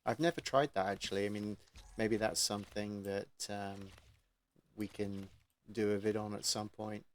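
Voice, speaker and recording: Guy hosting a gaming channel, lowish voice, just chatting casually with a guest. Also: steady tone, moderate pace, slight background noise. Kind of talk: casual interview chat.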